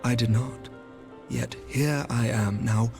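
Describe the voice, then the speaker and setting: An actor playing a composed, courtly knight commander in a fantasy game, speaking formal, measured archaic English. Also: male voice, mid-range voice, fairly even intonation, vocal fry, music in the background. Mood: firm, proud. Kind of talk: scripted fantasy game dialogue.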